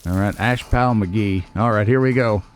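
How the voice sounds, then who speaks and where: A man with a fairly low voice talks his way through a video game. His delivery is casual and folksy, full of playful, wry asides to the viewers. Some noise sits behind the voice. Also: normal speed. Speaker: let's play host. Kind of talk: casual game commentary, talking to himself and the viewers.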